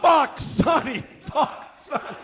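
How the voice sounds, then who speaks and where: A middle-aged man with a high-pitched voice hosts a live comedy show from a small bar stage. He talks casually and swears freely into a handheld microphone, exuberant and prone to raising his voice. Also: expressive, slow, fairly bright tone, raised voice, a faint crowd.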